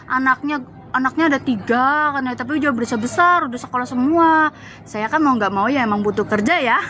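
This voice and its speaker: A young Javanese woman recounting her years as a domestic worker abroad in a lively casual chat, laughing often. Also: high-pitched, a little hiss or hum. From Java, Indonesia. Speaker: former migrant domestic worker.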